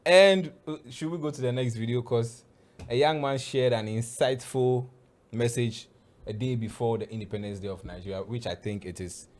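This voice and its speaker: A Ghanaian man hosting a show, chatting in a relaxed, rambling way with many fillers. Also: medium pitch, moderate pace. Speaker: show host. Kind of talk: casual commentary.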